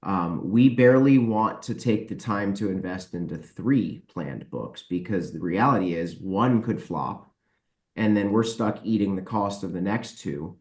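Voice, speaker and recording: A man with a fairly low voice answers interview questions in a casual way. He is blunt and explains his reasoning as he goes. The sound is very roomy and echoey. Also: a little slow, a little mellow. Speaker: guest, book publisher.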